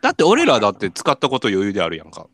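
A man's voice: on the low side, wildly swooping pitch, rapid-fire, fairly bright tone. A man with a Kansai Japanese accent in casual radio banter, informal and full of agreeing interjections as co-host. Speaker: radio talk show co-host.

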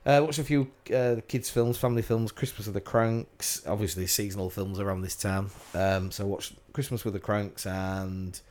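A man's voice: fairly low pitch, fairly even intonation, normal speed, hardly any pauses, darkish tone. A man in his thirties co-hosting a podcast, talking in an easygoing, rambling way with fillers. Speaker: podcast co-host. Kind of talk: casual chat.

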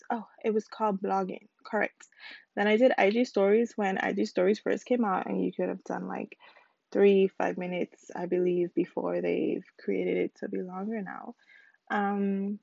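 A woman's voice: gravelly, darkish tone, no room sound, lo-fi sound. Rambling, chatty young woman podcaster. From United States Virgin Islands. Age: 20s. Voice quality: squeaky. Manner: rambling, chatty, self-correcting. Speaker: podcast host. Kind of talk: casual solo podcast intro.

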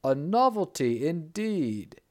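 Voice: medium pitch, big pitch swings, takes it slowly, dark tone. A storyteller voicing a commanding older sultan who speaks in a regal, emphatic manner.

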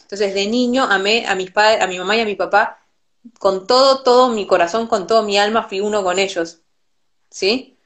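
A woman's voice: mid-range voice, normal speed, fairly bright tone. Thoughtful Argentine woman on a call. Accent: Argentine Spanish. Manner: thoughtful, repeats words when restarting. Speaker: Ayurveda teacher, guest. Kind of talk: reflective conversational explanation. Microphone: video call.

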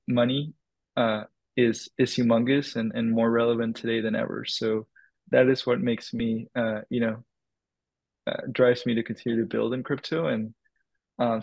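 A man's voice: mid-range voice, vocal fry, dry recording, rough recording. A man from the Dominican Republic, a crypto startup founder, answering interview questions in a thoughtful way with many fillers and restarts.

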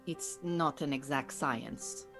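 A woman acting a calm, exacting Iranian refugee beekeeper in an audio drama, speaking in a measured, dry and formal way. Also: a bass voice, faint music behind, full character voice. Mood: cautioning.

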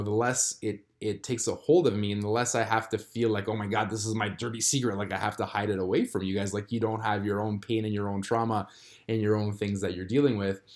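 A man in his thirties, born in Croatia, hosting a solo podcast and talking through a personal struggle in a reflective, halting way with many fillers and restarts. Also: fairly low pitch, darkish tone. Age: 30s. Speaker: podcast host. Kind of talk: candid solo podcast confession.

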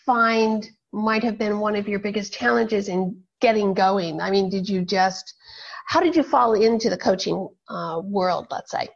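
A woman hosting a podcast, asking questions in a halting way with many ums. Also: medium pitch, vocal fry. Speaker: podcast host. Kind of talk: casual interview chat. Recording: muffled lo-fi audio.

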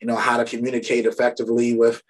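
A man's voice: medium pitch, monotone, fast, a little hiss or hum. Laid-back man from Brooklyn, casual host. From Brooklyn, New York. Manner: laid-back, frequent "you know" and "right?". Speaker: podcast host. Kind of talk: casual interview chat.

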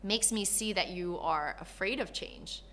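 Female interview guest, from Malaysia and Canada. Bright, forward voice, candid and conversational, animated storyteller. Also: mid-range voice, measured pace, a little hiss or hum. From born in Malaysia, grew up in Canada. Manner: animated storyteller, acts out quoted dialogue.

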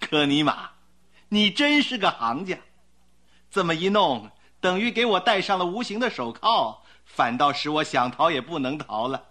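An actor playing a suave young gentleman thief in a radio drama, cocky and playful, with theatrical delivery. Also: fairly high pitch, expressive, slow pace, heavily acted. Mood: jaunty, amused. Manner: theatrical, jaunty. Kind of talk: Mandarin radio drama acting.

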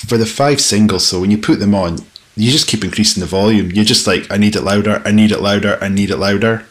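A man's voice: lowish voice, fast. A man chatting casually about music as a podcast co-host. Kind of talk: casual chat.

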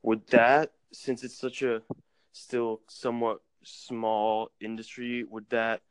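A man's voice: medium pitch, little pitch movement, slow, smooth, no echo. A man hosting a casual podcast, mostly agreeing with short replies and asking questions. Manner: agreeable, brief backchannels.

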